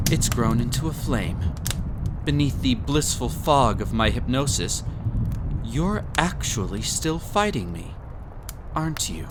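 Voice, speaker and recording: Man voicing a possessive prince, silky, condescending, very animated pitch, unhurried, romantic audio drama. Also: background noise. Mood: menacing, condescending. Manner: silky, condescending, theatrical, with breathy chuckles. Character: possessive, smug young prince with hypnotic magic. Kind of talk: scripted romantic audio roleplay.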